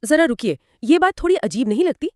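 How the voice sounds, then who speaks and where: A woman's voice: high-pitched, sing-song, a little fast, dark tone, no room sound, clean studio sound. A woman co-hosting a conversational legal explainer, asking questions, reacting and summing up points. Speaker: co-host leading with questions.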